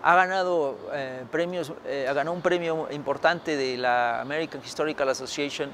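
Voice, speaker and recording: Middle-aged man from Mexico, slightly high voice, reflective interview answers with hesitations, faint traffic outdoors. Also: steady tone, normal speed, fairly bright tone. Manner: thoughtful, many fillers and restarts. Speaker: historian author. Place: outdoors by a building.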